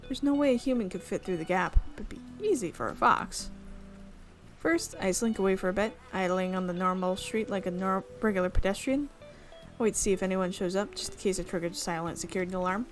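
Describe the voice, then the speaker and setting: A woman's voice: medium pitch, a little fast, soft background music. A woman hosting a gaming video, reading a visual novel's narration aloud and often stopping to correct her slips. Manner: reads narration with frequent slips and self-corrections.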